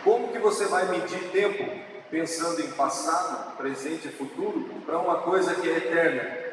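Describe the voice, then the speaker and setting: A man with a São Paulo countryside accent, giving an earnest, preaching public speech at an assembly event. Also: fairly high pitch. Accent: São Paulo countryside Brazilian Portuguese. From interior of São Paulo state, Brazil. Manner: earnest, preaching, slow with drawn-out emphatic words. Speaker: speaker at a legislative assembly event. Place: large assembly hall. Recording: echoey hall, distant mic, noisy.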